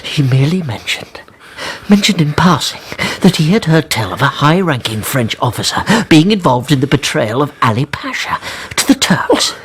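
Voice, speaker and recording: An actor playing a sly, pompous, wealthy baron in a radio drama, speaking in a mocking, evasive way. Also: male voice, a little high, big pitch swings, moderate pace, husky, full character voice. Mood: smug, sly. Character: smug, sly, self-important wealthy baron.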